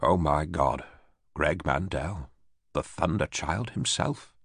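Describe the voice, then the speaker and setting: Man as nervous disgraced official, stammering, bitter, low voice, dry close sound. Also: slow pace, vocal fry, a bit bright, breathy edge, dry recording, exaggerated voice. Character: frightened, broken former official man, bitter. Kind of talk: audiobook character voice.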